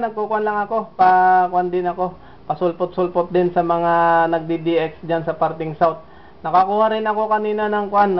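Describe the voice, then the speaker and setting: A man's voice: on the high side, highs cut off. A man from the Philippines talking with another amateur radio operator, speaking steadily and hesitating often. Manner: steady and unhurried, with frequent fillers. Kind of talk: casual ham radio contact.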